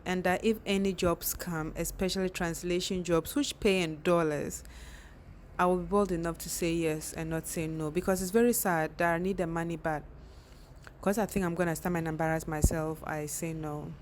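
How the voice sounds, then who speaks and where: A young woman talks candidly to the camera in a personal vlog. Her voice sits slightly low. She is reflective and now and then stammers or restarts a phrase, with a faint background hum. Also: fairly even intonation, moderate pace, darkish tone.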